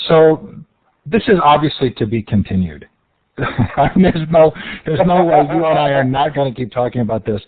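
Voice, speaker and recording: A man's voice: on the high side, lively intonation, moderate pace, phone-quality sound. A man hosting an interview, asking long, casual, searching questions. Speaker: interview host.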